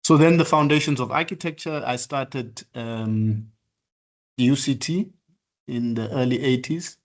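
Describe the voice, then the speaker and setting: Older architect, sixties, lecturing, halting and measured, frequent ums and restarts. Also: male voice, mid-range voice. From southern Africa. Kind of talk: online practice lecture.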